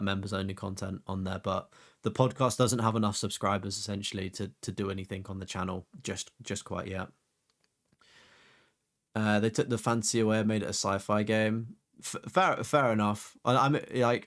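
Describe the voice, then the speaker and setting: A man's voice: on the low side, fairly bright tone. A young man chatting casually on a game live stream, rambling with fillers and casual swearing.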